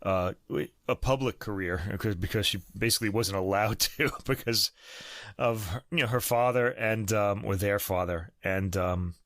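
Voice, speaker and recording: A man's voice: fairly low pitch, moderate pace, a bit bright, no room sound, studio quality. A man hosting a podcast, chatting casually about classical recordings, rambling with many fillers and restarts. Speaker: podcast host.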